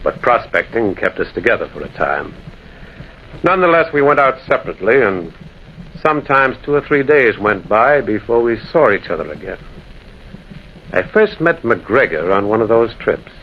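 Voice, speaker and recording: An actor playing a lonely, haunted prospector telling a ghost tale in brooding, theatrical old-time radio style. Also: male voice, on the low side, sing-song, moderate pace. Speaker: narrator and lead character. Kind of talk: old-time American radio drama acting. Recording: dull, noisy old radio recording.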